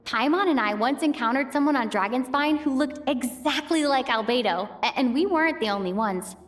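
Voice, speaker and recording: A woman's voice: sits high, expressive, fairly quick. A woman voicing an earnest, thoughtful young traveler in a fantasy video game, with a careful, reflective delivery. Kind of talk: dramatic video game voice acting. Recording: music behind the voice.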